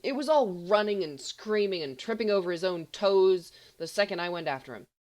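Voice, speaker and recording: A woman's voice: medium pitch, lively intonation, brisk, a little mellow, lightly acted. A woman voicing a shy, earnest teenage schoolboy in an audiobook, hesitant and grave as he bluffs gamely. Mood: mumbled defiance. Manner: hesitant, mumbling but audible, grave. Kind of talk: audiobook character voice.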